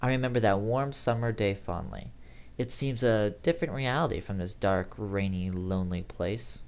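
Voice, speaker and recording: A man's voice: fairly low pitch, moderate pace, a little mellow. A man reading a first-person horror story aloud in a dramatic, theatrical way, sometimes stumbling over words. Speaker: amateur horror story reader.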